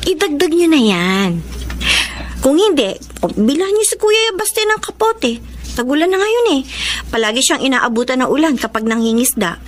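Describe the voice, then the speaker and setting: A woman's voice: sits high, expressive, normal speed, slight background noise. A woman playing a sweet, eager young student daughter in a radio drama, earnest and respectful. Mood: caring. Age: young adult. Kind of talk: Tagalog radio drama acting.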